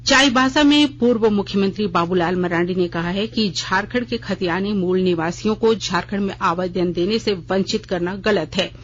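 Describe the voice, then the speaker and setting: A news reader delivering a scripted radio bulletin in a formal, measured way. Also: mid-range voice, fairly bright tone. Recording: dull lo-fi radio audio with noise and hum.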